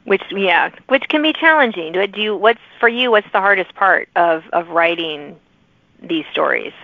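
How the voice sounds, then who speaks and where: A woman podcast host speaks in a casual interview style at a middle pitch. The recording is dry and slightly dull, like a radio. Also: animated, moderate pace, no room sound.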